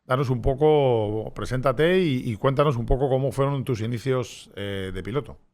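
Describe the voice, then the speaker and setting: A man's voice: mid-range voice, moderate pace, darkish tone. A man hosting an interview podcast, talking with many hesitations and restarts. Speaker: podcast host.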